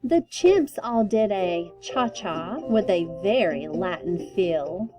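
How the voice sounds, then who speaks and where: A woman storyteller giving an expressive read-aloud of a children's book with deliberate, emphatic phrasing. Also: medium pitch, over music.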